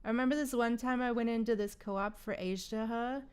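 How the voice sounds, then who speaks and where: A woman's voice: on the high side, steady tone, fairly quick, a little mellow, clean tone. A woman streaming a game, chatting casually with viewers in gamer slang. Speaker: game livestreamer. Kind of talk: casual livestream chat.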